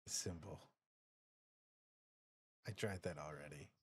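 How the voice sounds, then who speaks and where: A man playing a dejected, bitter exiled racer in a tabletop game, speaking haltingly and repeating his words. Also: very deep voice, breathy edge, heavily acted. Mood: bitter, defeated. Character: exiled racer, dejected, bitter, bewildered young nobleman's outcast. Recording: music behind the voice.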